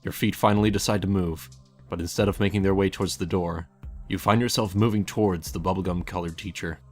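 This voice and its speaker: A man with a low voice reads a story as its narrator. He delivers scripted second-person prose, and soft music plays behind him. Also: steady tone, quick pace. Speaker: fan fiction narrator.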